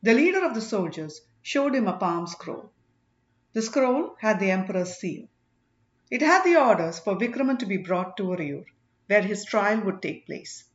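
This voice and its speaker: A woman's voice: medium pitch, sing-song, moderate pace, echoey room, lo-fi sound. A woman narrating an audiobook, reading a scripted story.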